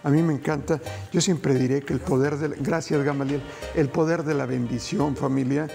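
A man's voice: fairly high pitch, fairly even intonation, moderate pace, vocal fry, soft background music. A middle-aged man hosting a TV cooking show, giving folksy advice to viewers in a studio kitchen. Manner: folksy, addresses viewers as family, gentle advice. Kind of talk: cooking demonstration.

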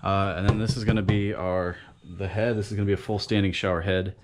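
A man in his thirties vlogging casually from inside a damaged sailboat cabin, swearing freely and filling pauses with ums. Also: low voice, flat delivery, background noise. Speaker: sailing vlogger. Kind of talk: casual vlog narration. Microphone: handheld action camera close up.

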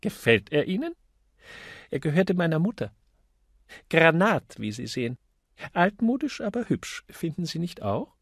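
A man's voice: fairly high pitch, very animated, a little slow, pauses often, no echo, clean studio sound, pitched above the performer's own voice, heavily acted. A man voicing a chatty, flirtatious middle-aged widow who works as an office clerk in an audiobook. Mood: coquettish.

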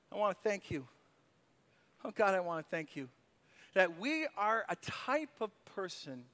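A man's voice: high-pitched, a bit bright. A middle-aged man, a pastor praying and preaching on a church stage, earnest and repeating his words as he presses a point. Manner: earnest, repeats words, rises to fervent emphasis. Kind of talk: sermon and prayer, then stage conversation. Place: church stage, large room. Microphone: handheld mic.

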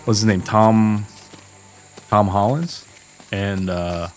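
Laid-back american-born guy streaming a game from home, chatting with chat, lowish voice, desk mic. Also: slow, soft background music. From USA. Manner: relaxed, chatty, talks to chat. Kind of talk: casual gameplay commentary.

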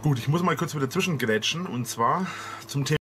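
A man with a southern German dialect explaining himself earnestly to camera, halting with fillers. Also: a little high, animated, a bit bright, a little hiss or hum. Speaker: video creator explaining himself. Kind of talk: monologue to camera.

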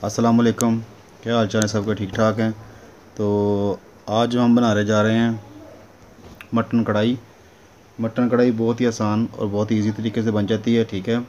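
A man's voice: fairly low pitch, flat delivery, moderate pace, pauses often, a little mellow. A man giving a casual, instructional recipe voice-over as a home cooking host, often checking in with the listener. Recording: dull lo-fi sound with clear noise.

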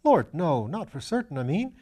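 A man reads out an eager young upper-class student in a storybook. He is easily flustered and runs himself down in old-fashioned slang. The voice rides high and stays mellow. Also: moderate pace, breathy edge. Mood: flustered, unsure. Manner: excitable, self-deprecating, old-fashioned slangy speech.

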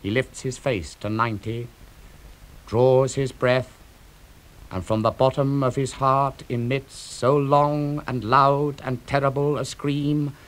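Slow grave older man reading epic verse. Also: fairly high pitch, rough recording. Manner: slow, grave and declamatory, sweeping up for climaxes. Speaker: narrator reading poetry. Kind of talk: dramatic poetry reading.

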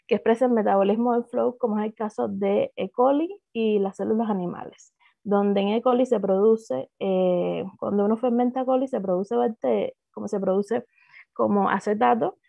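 A woman with a Cuban accent walks through her doctoral research on a video call, pausing often with fillers and restarting phrases. Her voice sits fairly high with a gravelly fry at the ends of phrases. The sound is dry and narrow, like a phone line. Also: no echo. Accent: Cuban Spanish. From Cuba. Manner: hesitant, many fillers and restarts. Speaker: doctoral student presenting thesis. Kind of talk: academic slide presentation.

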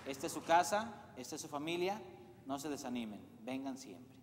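A man's voice: mid-range voice, very animated, moderate pace, a crowd in the background, background noise. A man in his thirties, a priest making chatty announcements to his congregation and checking that they follow. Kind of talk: parish announcements at Mass. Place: large church. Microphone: far camera, church sound system. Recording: echoey church with long reverb.

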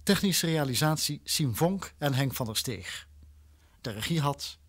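A man's voice: on the high side, expressive, a little slow. A man reading credits as a formal, scripted radio announcer.

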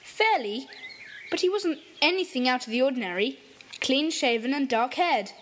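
A decisive boy leader, high and lively. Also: moderate pace, slight background noise. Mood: eager. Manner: decisive, takes charge. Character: confident boy leader of a kids' club.

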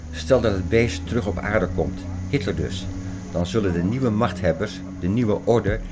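A man's voice: fairly low pitch, noisy background, close to an everyday voice. An actor playing a fervent man expounding apocalyptic theories in a radio play, insistent, didactic and declamatory. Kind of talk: radio play dialogue, insistent lecturing and reciting.

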